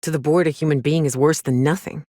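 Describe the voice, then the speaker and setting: A woman's voice: deep voice, fairly even intonation, fast talker, warm tone, no room sound, clean studio sound. A woman playing a weary, cynical but caring frontier woman in a video game, blunt and plainspoken with folksy, sweary talk. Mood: disillusioned. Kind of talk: video game character dialogue.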